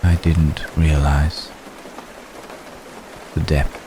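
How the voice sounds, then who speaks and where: Man acting as ancient gentleman vampire, extremely low voice, subdued even intonation, formal, halting, tender, intimate ASMR roleplay, rain noise behind. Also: full character voice. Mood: tender, confessional. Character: centuries-old gentleman vampire, tender, protective, world-weary. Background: rain falling.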